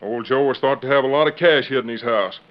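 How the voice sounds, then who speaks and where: A man's voice: medium pitch, quick pace, natural voice. A stern, plainspoken western lawman, acted by a man in a radio western, talking in folksy, matter-of-fact cowboy speech. The old recording sounds dull and muffled. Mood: skeptical. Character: plainspoken, stern but regretful western jail guard. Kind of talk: 1940s radio western acting.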